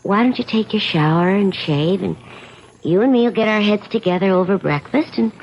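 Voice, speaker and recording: An actress playing a scheming, two-faced wife in a radio drama, colloquial, by turns impatient and coaxing. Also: female voice, medium pitch, moderate pace, a little mellow, lightly acted. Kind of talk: 1970s radio drama acting. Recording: dull, slightly noisy old radio recording.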